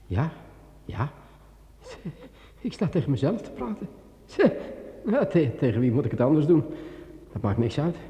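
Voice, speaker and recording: An actor playing a jittery swordsman in a radio drama, talking to himself in halting, repeated phrases. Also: a little high, very animated. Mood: frightened, agitated. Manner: agitated self-talk, halting and repeating words. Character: jittery man with a sword alone in a dark cellar, muttering to himself. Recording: echoey, with loud music behind.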